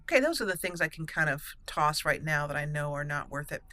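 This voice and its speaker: Female let's play host. Slightly low, crisp bright tone, chatty and casual, recorded dry. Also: lively intonation, fairly quick, no room sound. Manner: chatty, thinking aloud. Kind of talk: casual roleplay game commentary.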